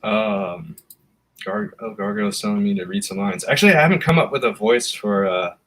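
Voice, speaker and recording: Low-voiced man rambling through a story. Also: animated, a little fast, gravelly, fairly bright tone. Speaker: comic artist guest. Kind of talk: casual chat.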